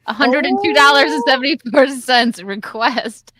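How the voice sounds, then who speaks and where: A woman's voice: high voice, moderate pace, noisy background. A woman hosting a casual livestream, giggly and bubbly while reading out donations. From United States. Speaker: livestream host.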